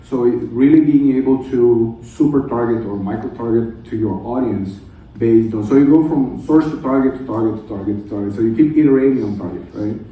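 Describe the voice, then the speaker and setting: A man who is a native Portuguese speaker, talking informally and haltingly on a conference panel. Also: mid-range voice, narrow, tinny audio. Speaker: conference panelist, translation technology professional. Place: indoor conference room. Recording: echoey conference room, distant mic.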